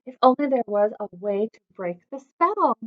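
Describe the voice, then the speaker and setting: A woman's voice: a little high, lively intonation, moderate pace, highs cut off, close to an everyday voice. A woman voicing a sly, boastful male talking frog who poses as a prince in a pompous way, from a storybook. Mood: boastful, scheming.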